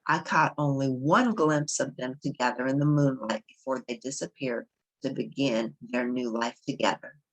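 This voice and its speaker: A woman's voice: very deep voice, normal speed, low-quality recording. A woman reading a children's novel aloud as a storybook narrator. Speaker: storybook reader.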